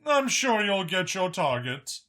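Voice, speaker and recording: A man voicing a powerful, patient dragon senator who explains things in a measured, self-assured way. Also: high-pitched, sing-song, bright, forceful tone, pitched above the performer's own voice, exaggerated voice. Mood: reassuring.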